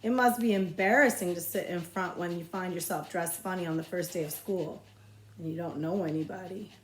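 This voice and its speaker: A woman reading a children's novel aloud as a librarian, with expressive, measured storytelling phrasing. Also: fairly low pitch, roomy sound, poor audio.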